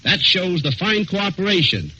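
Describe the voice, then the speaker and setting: Bright, forceful American officer on vintage radio. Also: male voice, high voice, sing-song, moderate pace, slightly rough. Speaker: army officer giving a report. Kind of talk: scripted report on 1940s radio. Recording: dull, lightly noisy vintage radio recording.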